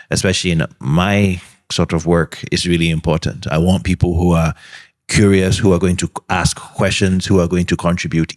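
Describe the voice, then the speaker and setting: A man answering questions on a panel, giving earnest, measured advice with frequent pauses and ums. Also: deep voice. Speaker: alumnus panelist.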